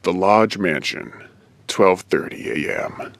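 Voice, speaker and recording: A man announcing scenes in a fan audio drama and also playing a diner owner, in a flat announcing manner. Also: low voice, takes it slowly, no room sound.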